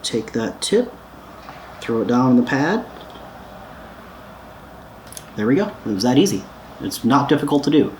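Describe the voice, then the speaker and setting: A man's voice: medium pitch, very animated, fairly quick, a little hiss or hum. An American man giving a casual, hands-on DIY repair tutorial in a laid-back, playful, informal way. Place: indoors at home, windows open.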